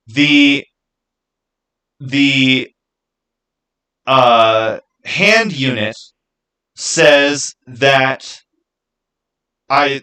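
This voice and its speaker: A man hosting a livestream in casual chat, speaking haltingly and putting rhetorical questions to his viewers. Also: mid-range voice, a bit bright, rough recording. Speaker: livestream host.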